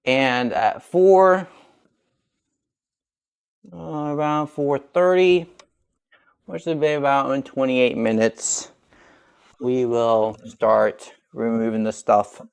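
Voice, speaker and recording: A young man chats his way through a cooking stream at an ordinary, middle pitch. His talk rambles, full of restarts and trailing asides. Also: slow pace, vocal fry, long pauses, clean tone. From grew up speaking Russian, learned English at eight. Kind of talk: casual cooking chat.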